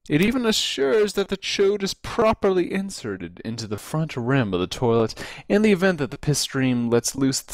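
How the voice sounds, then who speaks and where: A man giving a dramatic reading of a humorous first-person story, with deliberate, theatrical phrasing and drawn-out emphasis. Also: medium pitch, no room sound. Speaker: amateur reader of a comic story. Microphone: close computer mic on voice chat.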